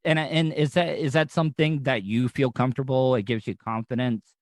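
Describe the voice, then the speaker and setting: An American man hosts a casual podcast interview, wandering through looping asides before reaching his question. His voice sits at an ordinary middle pitch. It is recorded close and dry, with no room sound. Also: steady tone, fairly quick, darkish tone, no room sound. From United States. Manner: rambling, talks in looping asides. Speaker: podcast host.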